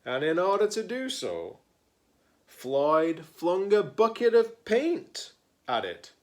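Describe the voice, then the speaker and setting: A man reading a picture book aloud to children as a teacher-librarian, with playful dramatic emphasis, pauses and chatty asides. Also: high voice, wildly swooping pitch, a little mellow.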